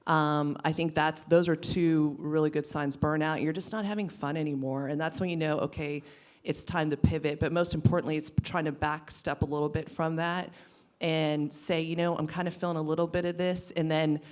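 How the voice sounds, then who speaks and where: Low, even-toned woman, earnest panel speaker. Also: moderate pace. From western Pennsylvania, US. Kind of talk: panel discussion.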